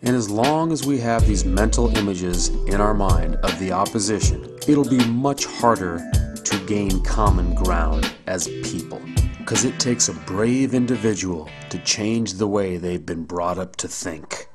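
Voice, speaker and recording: An American man with a fairly low voice talks straight at the viewer in a persuasive scripted monologue. His delivery is rhetorical and direct, with loud guitar music running underneath. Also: a little slow. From San Jose, California, United States. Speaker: video blogger.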